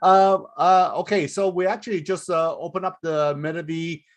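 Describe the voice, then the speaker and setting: A man moderating a webinar Q&A over a video call, chatty and halting, with frequent laughs and restarts. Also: on the high side, steady tone. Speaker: forum moderator.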